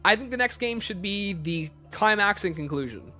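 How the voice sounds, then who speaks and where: A man's voice: high voice, lively intonation, normal speed, pushed and bright, soft background music. A man hosting a livestream, casually reading out questions and answering them with emphatic opinions.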